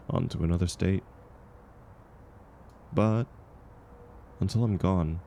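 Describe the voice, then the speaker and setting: Casting: low-voiced, mellow drifter, acted by a man, world-weary but kind, gently encouraging in an intimate comfort roleplay; faint noise behind. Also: vocal fry. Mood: warm, encouraging. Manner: gentle, encouraging, unhurried, chuckling often.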